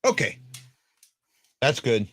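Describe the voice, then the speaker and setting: A man with a deep, baritone-to-bass voice hosts a casual fan talk show. He rambles, repeats himself and trips over his words as he thinks aloud. His pitch sits in an ordinary middle range. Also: very animated, takes it slowly, a bit bright, breathy edge. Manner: rambling, stumbling restarts. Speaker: podcast host.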